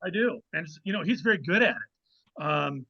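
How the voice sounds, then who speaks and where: A man talking as a guest author in a casual interview, explaining things in an agreeable, measured way. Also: on the high side, animated, vocal fry. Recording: dull lo-fi call audio.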